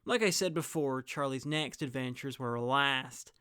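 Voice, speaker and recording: A man's voice: medium pitch, normal speed, background noise. A man presenting a scripted review as the host, in narration style.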